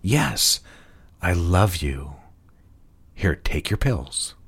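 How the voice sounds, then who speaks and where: An actor playing a manipulative, condescending husband in a period audio drama, with a patronizing, coaxing way of talking. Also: male voice, deep voice, sing-song, very slow, fairly bright tone, breathy edge, full character voice. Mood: soothing, controlling. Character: manipulative, condescending husband gaslighting his fragile wife.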